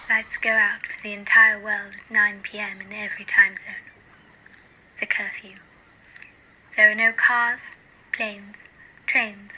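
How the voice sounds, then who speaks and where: A young woman narrating a dystopian radio play as a grave storyteller, in a solemn, measured way. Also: mid-range voice, sharp tone. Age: young adult. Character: grave female narrator of a dystopian near future. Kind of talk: scripted radio drama narration. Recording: clearly noisy recording with clicks.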